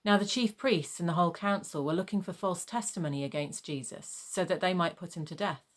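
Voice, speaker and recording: Woman, slightly low voice, scripture narrator, measured, formal storytelling delivery. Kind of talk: dramatised Bible reading.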